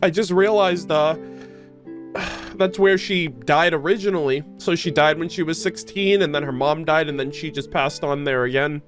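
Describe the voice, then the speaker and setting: A man's voice: on the high side, steady tone, moderate pace. A man hosting a gaming video, giving casual reaction commentary over gameplay. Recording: game music behind the voice.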